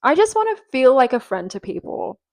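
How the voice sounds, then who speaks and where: A woman in her twenties chats her way through a home-recorded podcast, rambling and full of fillers. Her voice has a gravelly, fry-tinged texture and sounds a little nasal from a cold. The sound is close and dry, with no room echo. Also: high-pitched, very animated, fairly quick. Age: 20s. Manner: chatty and rambling, with frequent fillers and laughs. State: sick and a bit nasal. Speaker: podcast host. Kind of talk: casual solo chat.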